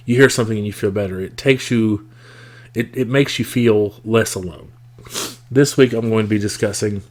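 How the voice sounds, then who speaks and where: A man's voice: lowish voice, darkish tone. Congested man with a scratchy voice. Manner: reflective, thoughtful, with frequent fillers. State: congested, rough scratchy voice from a cold. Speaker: podcast host. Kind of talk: casual solo podcast talk.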